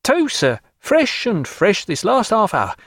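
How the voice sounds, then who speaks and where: A man's voice: sits high, huge pitch swings, slow, a bit bright, slightly rough, no echo, clean studio sound, voice pushed up, full character voice. A man voicing a fussing, motherly female landlady who insists on feeding an invalid, in a storybook reading. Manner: doting, insistent.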